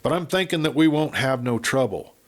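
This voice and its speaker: A man's voice: medium pitch, expressive, a little fast, pitched above the performer's own voice, close to an everyday voice. A man voicing a cowardly fat sheriff in a Western story, whining and pleading in frontier dialect. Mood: whining bluster. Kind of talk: Western audiobook character acting.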